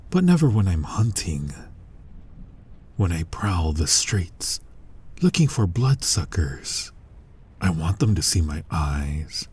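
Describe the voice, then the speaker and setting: A male actor voices an ancient vampire who preys on other vampires, in an intimate ASMR roleplay. His voice is low, dark and heavily breathy, sinking toward whispers. He speaks with deliberate, brooding menace and total dominance. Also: heavily acted. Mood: quiet menace. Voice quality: husky, breathy, often dropping to a whisper. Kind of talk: scripted ASMR roleplay monologue.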